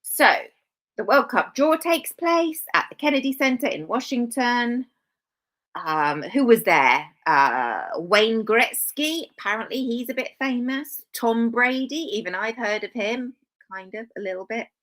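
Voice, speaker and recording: A woman's voice: a little high, lively intonation, measured pace, a bit bright. A woman hosting a live stream, talking casually with dry sarcastic asides and rambling self-corrections.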